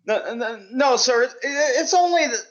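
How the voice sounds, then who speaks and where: An actor playing a timid, polite, awkward teacher, hesitant and deferential, in a vintage radio sitcom style. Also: male voice, extremely high pitch, animated, normal speed, a bit bright, dull audio. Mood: flustered.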